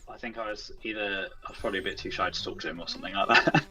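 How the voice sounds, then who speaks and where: A man's voice: deep voice, steady tone, rapid-fire, sharp tone, soft background music, poor audio. A man chatting casually as an interview guest, in a modest, tentative way. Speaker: guest, indie game developer. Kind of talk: casual chat.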